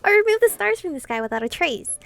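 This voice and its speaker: A woman's voice: extremely high pitch, sing-song, quick pace, gravelly, a bit bright, voice pushed up, heavily acted. A woman voicing a flirtatious, easygoing demon king, reading translated visual novel dialogue aloud in a light, conversational way. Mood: teasing.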